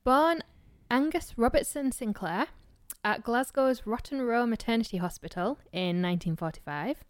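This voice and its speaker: A woman with a softened Yorkshire accent co-hosts a true-crime podcast, blunt and dry with a teasing edge. Her voice is smooth and clean. It is recorded close and dry, with no room sound. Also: fairly high pitch, normal speed, dry recording. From Yorkshire, England. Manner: blunt, dry and joking, with casual swearing. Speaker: podcast co-host. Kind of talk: casual true-crime chat.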